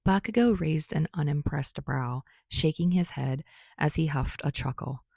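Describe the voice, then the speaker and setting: Creaky, low-voiced woman narrating. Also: measured pace, darkish tone, no echo, studio quality. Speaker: fan fiction narrator. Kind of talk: scripted story narration.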